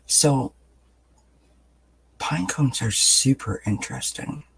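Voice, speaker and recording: A man with a raspy, just-woken-up voice, giving a gentle daily tarot reading in a soft-spoken, relaxed way with lots of fillers. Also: mid-range voice, slow, a little hiss or hum, rough recording. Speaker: tarot reader. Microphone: camera mic, above-view camera.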